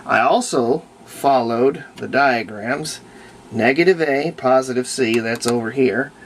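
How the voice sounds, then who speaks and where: Creaky-voiced man casually thinking aloud. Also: mid-range voice, a little slow, a little hiss or hum. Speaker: hobbyist restorer of antique radios, presenter. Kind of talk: casual hands-on demonstration, unscripted running commentary.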